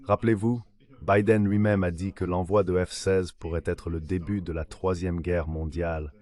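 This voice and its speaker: A man's synthetic voice reading dubbed analytical commentary as an interview guest, in a measured, explanatory way. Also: low-pitched, little pitch movement, darkish tone. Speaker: translated voice of an interview guest, an academic.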